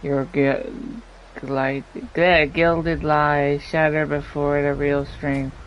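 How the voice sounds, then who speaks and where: A man with a slightly high voice streams a game, speaking slowly and haltingly. He moves between casual remarks and reading dialogue aloud, with faint noise behind him. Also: level tone, highs cut off.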